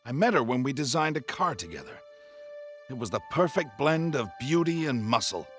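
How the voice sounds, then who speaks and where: An actor playing an earnest, wistful middle-aged inventor who still grieves a lost love in a video game. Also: male voice, medium pitch, big pitch swings, normal speed, faint music behind, natural voice. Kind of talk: cinematic video game dialogue, emotive storytelling.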